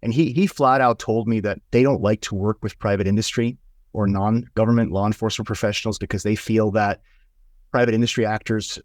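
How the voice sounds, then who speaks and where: A man's voice: fairly low pitch, fairly even intonation, fairly quick, a little mellow, no room sound, clean studio sound. A Swiss man with a Swiss accent, hosting an interview and asking long questions, often repeating words as he thinks. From Switzerland. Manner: repeats words often while thinking, stacked stammered starts. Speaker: podcast host, interviewer.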